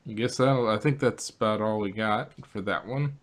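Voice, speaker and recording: A man co-hosting a casual review podcast, halting and laughing often as he talks. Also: fairly low pitch. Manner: laughing, halting, many fillers. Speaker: podcast co-host.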